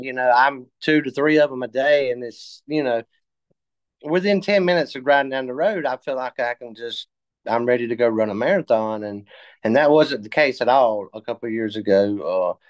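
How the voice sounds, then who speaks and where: A man of fifty telling his story in a casual interview, halting with many fillers and restarts. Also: mid-range voice, no room sound. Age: 50s. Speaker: interview guest.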